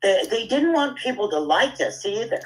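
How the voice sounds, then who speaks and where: A woman speaking as a guest in a casual chat, earnest and halting, often repeating her words. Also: mid-range voice, very animated, low-quality recording. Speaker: guest speaker on a live audio room.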